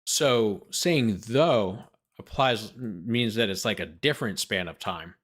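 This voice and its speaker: A man's voice: fairly low pitch, slow, fairly bright tone. A man hosting as a lawyer, reading a document aloud and breaking off for incredulous, sarcastic commentary. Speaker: lawyer host.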